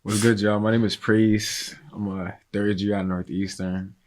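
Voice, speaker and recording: A man in his twenties chats as a podcast guest, easygoing and slangy. His voice is low and relaxed, heard through a podcast mic. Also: measured pace, a little hiss or hum. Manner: easygoing, drawls slangy phrases. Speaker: guest, food reviewer. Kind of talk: casual chat.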